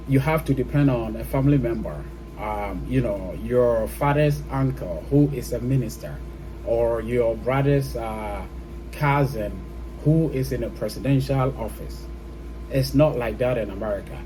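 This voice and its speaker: A man's voice: mid-range voice, mellow, a little hiss or hum, low-quality recording. A man with a Ghanaian accent giving his opinion in a casual, explanatory vlog style, often checking in with the listener. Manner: explanatory, often checks in with "okay?" and "you know". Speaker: vlogger. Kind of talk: casual opinion talk to camera.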